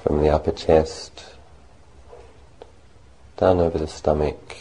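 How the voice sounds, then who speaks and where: Very deep, dark-voiced man guiding meditation. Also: level tone, low-quality recording. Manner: gentle, unhurried, long pauses between short phrases. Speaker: Buddhist monk leading a guided meditation. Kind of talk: slow guided meditation.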